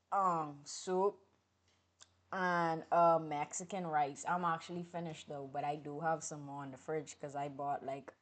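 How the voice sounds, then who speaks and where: A woman from the Bahamas, chatting casually with viewers on a livestream, outspoken and animated, swinging from loud outbursts to low mutters.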